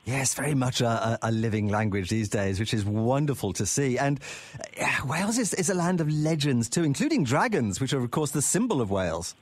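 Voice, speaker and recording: A man hosting a radio travel show, interviewing a guest in an expressive, warm way. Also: medium pitch, brisk, no echo. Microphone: studio microphone.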